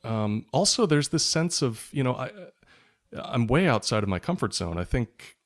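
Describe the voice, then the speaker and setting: A man's voice: mid-range voice, very animated, moderate pace. A man talking reflectively as a guest in an interview, in an unscripted conversational way. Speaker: guest, author.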